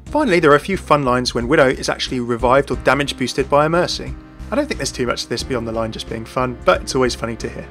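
Fast-talking man hosting gaming commentary. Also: mid-range voice, lively intonation, a bit bright, faint music behind. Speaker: video host.

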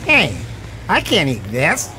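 Chatty cartoon bear pal, very animated. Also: mid-range voice, slow, a little hiss or hum, full character voice, low-quality recording. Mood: concerned. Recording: loud music behind dialogue.

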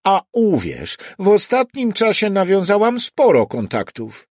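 A man voicing a sharp-tongued female police commissioner in an audiobook, sarcastic and teasing. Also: sits high, wildly swooping pitch, unhurried, dry recording, studio quality, pitched above the performer's own voice, exaggerated voice. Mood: teasing threat.

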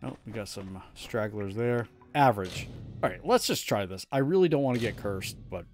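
A man's voice: lowish voice, lively intonation, moderate pace. A man in his thirties streaming a game live from a small room at home, muttering casual, self-mocking commentary to himself as he plays. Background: game music.

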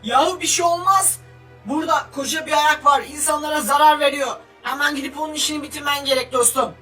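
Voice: high voice, fairly even intonation, fast talker, bright, forceful tone. A performer voicing a friendly, coaxing young superhero sidekick in a comic game cartoon, reassuring and cajoling. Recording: distant voice with music behind.

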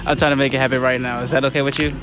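A man's voice: mid-range voice, level tone, rapid-fire. A young man interviewing strangers in a large clothing store with a handheld mic, joking and teasing as he talks. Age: young adult. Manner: joking, teasing, laughs a lot. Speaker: street interviewer. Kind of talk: playful prank interview. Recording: loud music behind.

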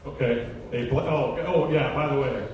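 A man's voice: mid-range voice, normal speed, muffled recording, background noise. A middle-aged man hosting a casual live interview on stage with a handheld microphone. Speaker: interviewer, radio play-by-play announcer. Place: indoor stage in an auditorium. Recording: echoey hall PA, distant, audience behind.

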